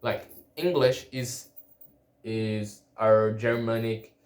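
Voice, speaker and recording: A Portuguese-native man speaks slowly in a slightly low voice, stopping and restarting his sentences as he searches for words. He talks in a relaxed solo vlog manner. The room around him adds a clear echo. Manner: halting, many restarts and fillers. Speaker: language-learning vlogger. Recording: echoey room.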